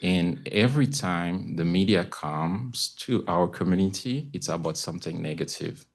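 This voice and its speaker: A man with a Haitian accent speaking as a panelist about his own experience, haltingly and searching for words. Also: low-pitched, animated. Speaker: panelist, community news correspondent. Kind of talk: panel talk, personal account.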